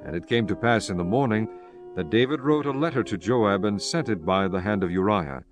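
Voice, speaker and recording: Male narrator, lowish voice, measured, solemn, scripture reading, music behind. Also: expressive.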